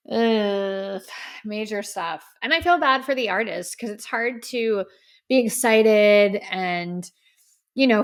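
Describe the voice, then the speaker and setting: A woman signing off her podcast with a slightly hoarse, gravelly voice. She speaks at a middle pitch, earnest and effusive, letting her thoughts ramble on. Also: a bit bright. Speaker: podcast host. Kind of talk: solo podcast sign-off. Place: in front of a camera. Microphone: studio microphone.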